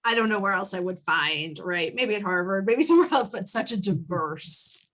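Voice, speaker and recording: A woman's voice: mid-range voice, animated, normal speed, a bit bright. A woman moderating a panel discussion in an informal, chatty way. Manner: informal, conversational, frequent "you know" and "right".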